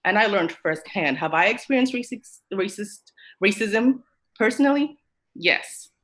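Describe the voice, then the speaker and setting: A woman speaks at a middle pitch. Her delivery is emotional and emphatic as she joins a podcast discussion. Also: expressive, measured pace, a bit bright. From Kenya. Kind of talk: earnest discussion.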